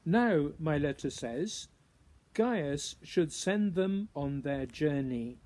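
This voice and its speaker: Male poet for a spoken reading: unhurried, musing delivery with pauses, slightly high voice, some background noise. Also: expressive, a little mellow. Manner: deliberate, pausing between short phrases, musing questions. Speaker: writer reading own poem. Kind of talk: introduced poetry reading, measured recitation.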